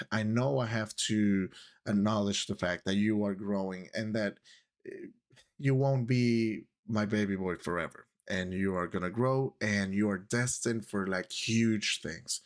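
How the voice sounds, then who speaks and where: A man's voice: fairly low pitch, fairly even intonation, measured pace. A man in his thirties with a Puerto Rican accent, chatting casually to his viewers and rambling a little. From Puerto Rico. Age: 30s. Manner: chatty, rambling, switches into Spanish phrases. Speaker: YouTube creator. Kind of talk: casual talk to camera.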